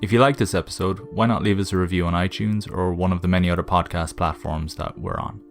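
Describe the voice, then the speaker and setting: A man's voice: low voice, steady tone, fast talker. A man delivering a scripted podcast sign-off as announcer. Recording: guitar music behind the voice.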